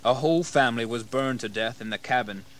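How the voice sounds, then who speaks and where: An actor playing a bold, ambitious colonial rebel leader in a history radio drama, speaking in a proud, formal, declamatory manner. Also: male voice, mid-range voice, fairly quick, lightly acted. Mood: rousing. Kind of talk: dramatized history audio acting.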